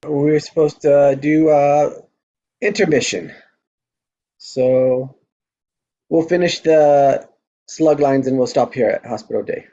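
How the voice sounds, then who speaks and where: A man's voice: on the high side, steady tone, slow, long pauses, mellow, muffled recording. A man hosting a live table read, talking in a casual, chatty way. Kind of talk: casual chat.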